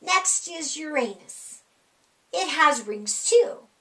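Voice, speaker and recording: A middle-aged woman reading a rhyming children's picture book aloud in an animated, sing-song, deliberate way for young kids. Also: high-pitched, a little hiss or hum. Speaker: library storytime reader. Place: small indoor room.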